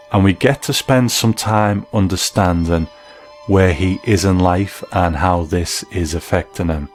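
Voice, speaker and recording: A man with a deep voice delivers scripted commentary as a reviewer, in a conversational style. Soft music plays faintly behind him. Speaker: reviewer and presenter.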